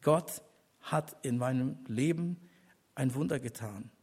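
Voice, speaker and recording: An older man, a church elder, giving an earnest personal testimony as a sermon, speaking deliberately with pauses and rising to emphatic appeals to the congregation. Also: medium pitch, darkish tone, husky.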